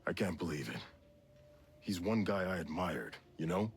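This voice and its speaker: An actor playing a blunt, disillusioned soldier in a video game cutscene, talking conversationally. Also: male voice, low-pitched, lively intonation, normal speed, slightly rough, full character voice. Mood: disbelieving.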